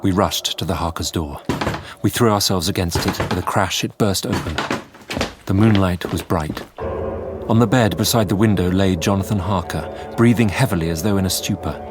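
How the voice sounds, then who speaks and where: Earnest Victorian gentleman narrator, played by an actor, lowish voice, grave dramatic storytelling over prominent music. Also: close to an everyday voice. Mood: horrified, tense. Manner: grave, deliberate, short phrases. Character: earnest Victorian male doctor recounting horrors.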